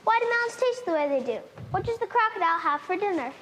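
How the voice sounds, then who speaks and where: A woman's voice: very high-pitched, animated, fast talker, a bit bright. A woman playing a curious elephant calf. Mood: eager. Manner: eager, endlessly questioning. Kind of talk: stage play acting. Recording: reverberant hall, faint noise.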